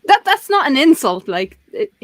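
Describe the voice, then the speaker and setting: A woman's voice: high voice, big pitch swings, moderate pace, no room sound. A middle-aged woman co-hosting a livestream chat, rambling and self-deprecating, laughing often. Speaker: co-host of a livestream talk show. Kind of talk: casual chat.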